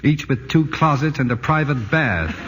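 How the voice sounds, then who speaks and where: An actor playing an exasperated, wisecracking husband in a 1940s radio drama, recorded on an old broadcast. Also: male voice, medium pitch, expressive, normal speed, muffled recording, loud music behind the voice, natural voice. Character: harried husband building a house, middle-aged. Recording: old radio broadcast with long reverb, faint hiss.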